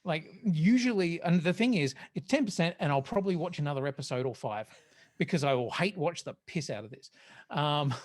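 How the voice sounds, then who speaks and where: Wry, halting podcast host with a mid-range voice. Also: mid-range voice, lively intonation. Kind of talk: casual podcast panel review.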